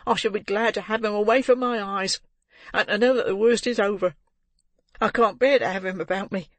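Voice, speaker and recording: A man's voice: high voice, steady tone, fairly quick, fairly bright tone, dry recording, pitched above the performer's own voice. A man voicing a bitter, defiant young woman of the criminal underworld in a storybook reading, speaking emphatically. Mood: bitter, anguished.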